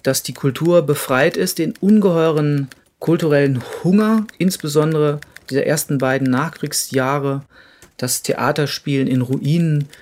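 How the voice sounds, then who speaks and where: A man's voice: a little high, a little slow, a little mellow. A man giving analytical literary commentary in long, articulate, winding sentences, as in an interview.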